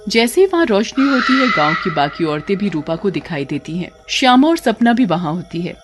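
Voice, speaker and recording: Female storyteller for scripted horror folk tales, mid-range pitch, light music bed. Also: big pitch swings, a little fast.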